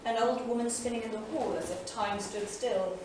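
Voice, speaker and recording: An actress in a staged reading, playing a proud, earnest heroine in a solemn, dramatic manner. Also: female voice, a little high, normal speed, natural voice. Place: theatre studio stage, far microphone. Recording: distant echoey theatre studio, faint noise.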